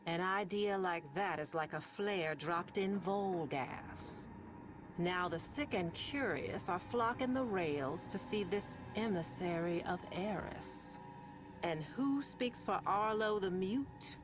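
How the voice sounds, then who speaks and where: A woman playing a sardonic, world-weary radio host in a sci-fi game, telling stories in a deliberate, ominous, wry way with long pauses over music. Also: fairly low pitch, animated. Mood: sardonic, foreboding. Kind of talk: slow dramatic monologue to listeners, storytelling.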